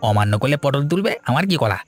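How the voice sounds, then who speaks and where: A man's voice: medium pitch, big pitch swings, quick pace, soft background music, close to an everyday voice. A man playing an irritable, bossy young village son in a cartoon, scolding and exasperated. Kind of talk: Bengali cartoon voice acting.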